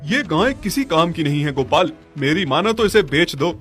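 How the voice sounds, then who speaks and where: A man's voice: on the high side, expressive, moderate pace, a bit bright. A man voicing a practical, pushy neighbour who gives insistent advice in a cartoon story. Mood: sceptical. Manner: insistent, advising. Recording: music behind the voice.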